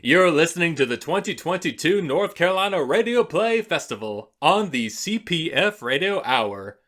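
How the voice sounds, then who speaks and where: A man's voice: on the high side, big pitch swings, normal speed, a bit bright. A man hosting a radio drama festival, delivering scripted announcements with theatrical flair. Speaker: radio play festival host.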